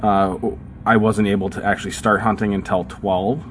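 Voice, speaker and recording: A man's voice: lowish voice, little pitch movement, normal speed, a little hiss or hum. A young man with a northern Minnesotan accent, co-hosting a podcast and telling stories in a casual, rambling way with many fillers. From southern United States. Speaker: podcast co-host. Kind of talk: casual chat.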